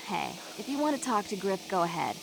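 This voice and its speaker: A woman's voice: medium pitch, big pitch swings, a little fast, creaky, background noise. An actress voicing a jaded, blunt young woman in a video game, exasperated and plain-spoken. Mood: resigned. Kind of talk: video game character dialogue.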